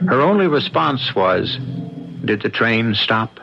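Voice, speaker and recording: A man's voice: mid-range voice, very animated, takes it slowly, vocal fry, bright, forceful tone, exaggerated voice. A man playing the storytelling host of a radio mystery, reading a scripted recap. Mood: solemn. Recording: muffled vintage radio broadcast, music behind.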